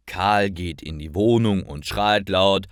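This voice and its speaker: A man narrating a scripted story for language learners, enunciating carefully and deliberately. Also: sits low, smooth, dry recording, clean studio sound.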